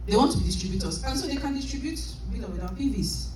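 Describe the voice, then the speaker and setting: A woman's voice: on the high side, quick pace, recorded from a distance, a little hiss or hum. Emphatic woman trainer in an echoey hall. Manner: animated, emphatic, laughs often. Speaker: business trainer. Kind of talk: motivational sales training talk. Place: large convention hall.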